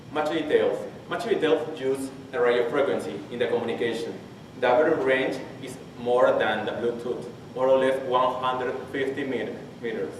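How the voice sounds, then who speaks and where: A man in his twenties from Peru with a Spanish accent, his first language, giving a technical conference talk and haltingly restarting phrases as he searches for English words. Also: medium pitch. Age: 20s. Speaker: conference presenter. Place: large conference hall. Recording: very echoey hall, distant mic, faint hiss.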